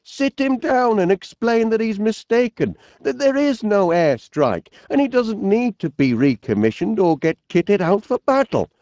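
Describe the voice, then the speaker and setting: A high-voiced, middle-aged Northern English inventor from a cartoon comedy game. His delivery swings up and down with a mellow tone, and he sounds cheerful, fussy and absent-minded as he politely bumbles along. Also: big pitch swings, a little slow, hardly any pauses, dry recording, exaggerated voice. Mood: musing, puzzled. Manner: bumbling, polite, musing aloud. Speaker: video game voice actor. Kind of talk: animated comedy game acting.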